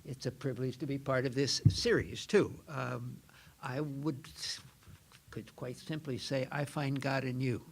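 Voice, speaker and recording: An older man, a Jesuit priest, giving an informal lecture in a soft voice, chatty and self-deprecating, often restarting his sentences. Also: medium pitch, steady tone, a little slow, gravelly. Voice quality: soft-spoken. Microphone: microphone.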